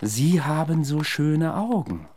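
Eccentric self-made analyst, radio drama: confident, eloquent, needling, with widely swinging intonation. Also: male voice, on the high side, sing-song, slow pace, darkish tone, exaggerated voice. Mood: triumphant, insistent. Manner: eloquent, probing, emphatic. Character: confident, eccentric amateur psychoanalyst, provocative and insistent. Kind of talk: German radio play acting.

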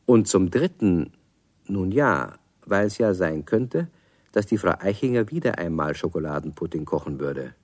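Narrator: deep voice, measured wry storytelling for children's radio drama, close dry studio sound. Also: male voice, animated, darkish tone, dry recording. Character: wry, unhurried male storyteller.

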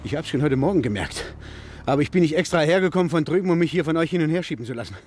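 Ein Schauspieler spielt in einem Krimihörspiel der 1950er einen misstrauischen, von Schuld geplagten Mann, der grüblerisch und stockend spricht. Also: male voice, on the high side, lively intonation, racing, darkish tone, a little hiss or hum, natural voice. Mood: suspicious, agitated. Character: distraught, suspicious, guilt-ridden man searching for his fiancée. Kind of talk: 1950s German radio crime drama acting.

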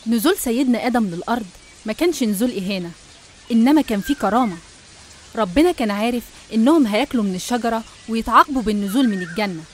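A woman storyteller with an Egyptian accent reads a scripted tale for animation. She speaks quickly in a fairly high voice, over faint background noise. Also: expressive. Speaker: narrator.

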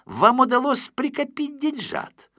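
A man voicing a sly swindler in a storybook audio play, flattering in an unctuous, singsong manner. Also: very high voice, measured pace, no room sound, studio quality, pitched above the performer's own voice, heavily acted. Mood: gushing. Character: sly, gushing male swindler flattering a customer. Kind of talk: audiobook storybook character acting.

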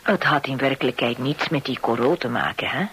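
A woman's voice: a bass voice, a little fast, a bit bright, slight background noise. An actress playing a motherly, worldly-wise middle-aged hostess, chatty and soothing, in a radio drama. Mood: brisk, chatty. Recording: old radio drama recording.

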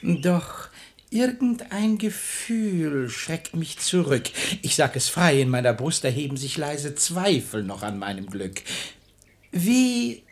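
An actor playing a sly, pious hypocrite and seducer in a German radio play, speaking rhymed verse in an unctuous, insistent, theatrical way. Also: male voice, on the high side, expressive, takes it slowly. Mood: eager, mistrustful.

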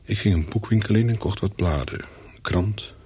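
An actor playing a wary, secretive English hotel guest in a radio play, telling his story in short, measured sentences with a husky voice. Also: mellow. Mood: brooding, reflective. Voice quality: low, husky. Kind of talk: radio play, first-person narration with dialogue.

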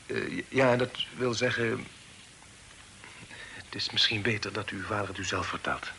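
An actor playing a courteous, uneasy young gentleman in a radio play. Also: fairly low pitch, unhurried, creaky. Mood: polite, hesitant. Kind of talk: Dutch radio play acting. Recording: noisy old radio play recording.

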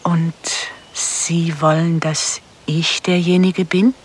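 A woman's voice: lowish voice, level tone, takes it slowly, slightly rough. An actress playing a cool, wary female contract killer in a radio crime drama, speaking in a dry, terse way. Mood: wary, probing. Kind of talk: German radio play acting.

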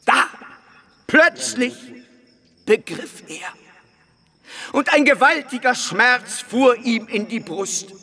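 Radio drama preacher-narrator: solemn, emphatic, slow, with highly animated intonation. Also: male voice, heavily acted. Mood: solemn, fervent. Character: solemn, fervent male preacher telling a parable. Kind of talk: dramatic sermon-like storytelling.

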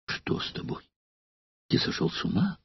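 A man's voice: on the low side, animated, a little slow, husky, lo-fi sound. A man reading an audiobook and putting on a raised voice for a frightened, passionate young noblewoman who questions her lover urgently, close to sobbing. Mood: frightened pleading.

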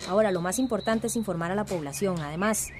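A woman's voice: medium pitch, fast talker, a little mellow, slight background noise, natural voice. A woman playing an earnest, organized village activist in a radio drama, speaking emphatic Central American Spanish. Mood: earnest, urgent.

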